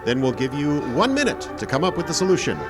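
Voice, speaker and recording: A man's voice: fairly high pitch, very animated, fast, over music. A man announcing a live radio show in scripted old-time radio style.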